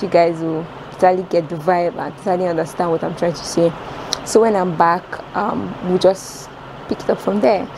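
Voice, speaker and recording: A woman's voice: lowish voice, a little mellow, poor audio. A young woman with a Nigerian accent chatting casually and directly to her viewers in a vlog, recorded at arm's length. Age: young adult. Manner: informal, addresses viewers directly. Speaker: vlogger, student. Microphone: camera at arm's length. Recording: background noise.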